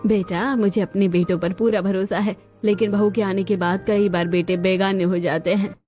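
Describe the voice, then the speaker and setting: A woman playing a firm, cautious mother in an animated family drama, insistently explaining her household plans. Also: mid-range voice, moderate pace, highs cut off, soft background music, close to an everyday voice. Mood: firm, reassuring.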